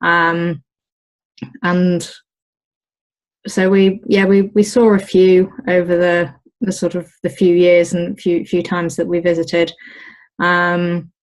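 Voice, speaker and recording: A British woman talking casually in an interview as the owner of an olive grove, pausing often with ums and restarts. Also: on the low side, monotone. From United Kingdom. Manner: hesitant, many ums and restarts.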